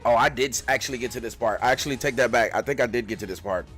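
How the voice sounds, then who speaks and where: A man's voice: mid-range voice, rapid-fire, a bit bright, soft background music. A man giving casual live reaction commentary as a streamer watching a fan dub.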